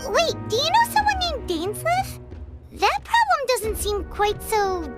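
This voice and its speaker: Squeaky, tiny childlike fairy. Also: female voice, a little slow, over loud music, heavily acted. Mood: surprised, inquisitive. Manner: excitable, blunt. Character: tiny childlike fairy companion, eager, blunt and excitable. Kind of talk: video game voice acting.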